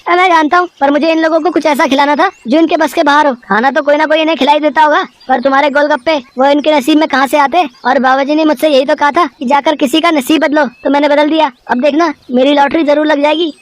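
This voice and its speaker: A woman voicing a naive, eager, excitable young male customer in a cartoon moral story, earnest and chatty. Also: high-pitched, quick pace, hardly any pauses, natural voice. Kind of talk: acted Hindi moral-story cartoon dialogue.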